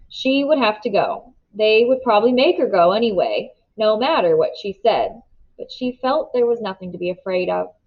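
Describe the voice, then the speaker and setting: A woman reading a children's novel aloud in expressive storybook narration, on a slightly dull recording. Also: mid-range voice.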